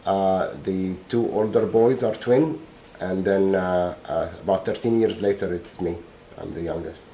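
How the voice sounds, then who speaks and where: Guy on the stand, lowish mellow voice, slow halting answers. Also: slight background noise. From Egypt. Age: middle-aged. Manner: halting, searching for words. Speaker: witness. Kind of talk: courtroom testimony. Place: courtroom.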